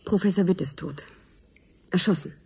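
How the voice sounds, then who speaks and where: A woman's voice: lowish voice, moderate pace, dark tone, husky. An actress playing a guarded, serious young woman in a German radio drama. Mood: sober, worried.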